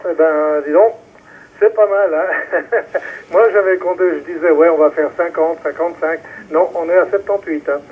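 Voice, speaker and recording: A man with a husky, slightly high voice talks on amateur radio. He is chatty and informal. The transmission is thin and phone-like. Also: steady tone, normal speed. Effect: ham radio transmission. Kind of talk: informal ham radio chat. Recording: thin narrow-band amateur radio relay.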